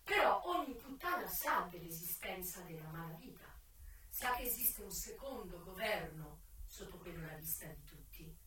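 An actress playing a worldly, frank and wry American brothel madam, telling her life in a dramatic radio theatre monologue that moves between hushed confiding and projected declaiming. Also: lowish voice, animated, moderate pace, slight background noise. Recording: echoey, distant stage sound with music. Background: music.

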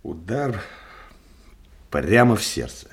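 An actor in a radio play voicing a terse police forensic expert who reports in clipped, factual phrases. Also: male voice, on the low side, sing-song, slow, breathy edge, full character voice. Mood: matter-of-fact.

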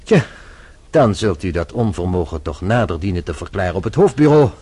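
An actor playing a shrewd, composed French police inspector in a radio play, speaking formally with measured insistence. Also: male voice, low voice, sing-song, warm tone, breathy edge, exaggerated voice. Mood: stern, probing.

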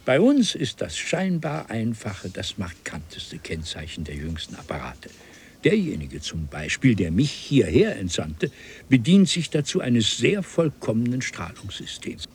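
An old, learned traveller from a vanished civilization in a radio drama. His low voice moves in lively, sweeping contours through ornate, old-fashioned courtesies. A noticeable hiss of noise lies under the recording. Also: measured pace. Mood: superior, instructive. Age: older. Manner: formal, archaic, ornate address. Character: elderly courteous scholar time traveller from an ancient civilization. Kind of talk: German radio play acting. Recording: 1970s radio play with audible noise.

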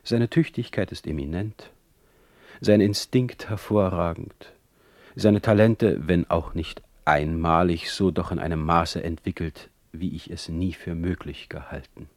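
A man's voice: low voice, measured pace, a little mellow, no room sound. An actor playing a proud, defiant exiled male poet in a radio play, declaiming emphatically in formal diction. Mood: earnest, formal. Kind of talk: radio play acting, dictated letters.

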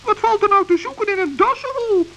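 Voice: extremely high pitch, creaky, pushed and bright, muffled recording, full character voice. A performer voicing a small, kind, earnest forest gnome in an old children's radio play. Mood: hurried. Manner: earnest, hurried, stammering when flustered.

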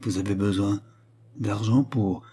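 A man's voice: lowish voice, steady tone, unhurried, dark tone, slightly rough. A man voicing a grave, devoted nobleman in love with a queen, solemn and intense, in a dramatized historical novel. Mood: solemn devotion.

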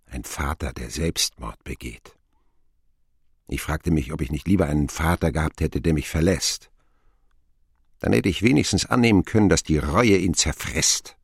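An actor playing a grown man who recalls his wounded boyhood in a radio play, reflective and dryly ironic, with a gravelly, husky voice. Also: a bass voice, moderate pace, no room sound, studio quality, heavily acted. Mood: wry, bitter. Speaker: narrator.

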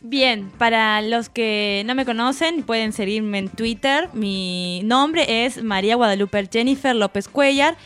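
A woman acting out a self-proclaimed expert who takes offence at everything, speaking a little high. She is pompous and theatrical, playing a wounded radio guest in a studio comedy sketch. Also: a little slow, few pauses, a bit bright, clean tone, soft background music. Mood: self-important. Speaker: radio columnist character.

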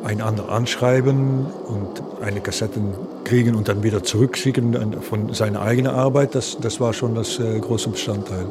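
Low-voiced Dutch-accented man, halting. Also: steady tone, noisy background. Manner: halting, non-native German phrasing. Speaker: interviewee. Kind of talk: unscripted interview talk.

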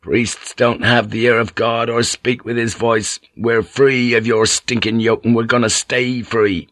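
A man's voice: medium pitch, little pitch movement, measured pace, fairly bright tone, no room sound, natural voice. A man playing a defiant, taunting ship's pilot, blunt and scornful, in audiobook dialogue. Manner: blunt, provoking.

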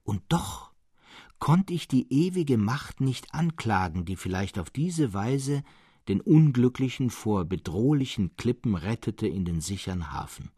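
A thoughtful, solemn storyteller in a literary radio drama. His low voice is husky and moves expressively through each deliberate phrase, recorded close and dry. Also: lively intonation, warm tone, dry recording. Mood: moved, solemn. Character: thoughtful male storyteller Cyprian, fascinated by madmen.

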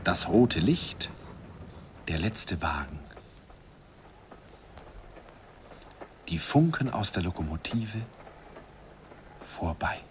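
A faintly noisy old radio recording carries a wistful man remembering young love. His voice is fairly low and very animated, telling the story lyrically in the first person. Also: slow pace, heavily acted. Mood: hushed, rapt. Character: wistful grown man recalling his youthful summer romance. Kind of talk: dramatic first-person radio play narration.

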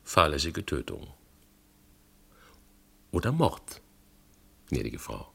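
An actor playing a probing, politely ironic and sceptical investigator in a German radio play, questioning formally and deliberately with a gravelly, husky voice. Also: male voice, a bass voice, wildly swooping pitch, exaggerated voice. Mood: insinuating.

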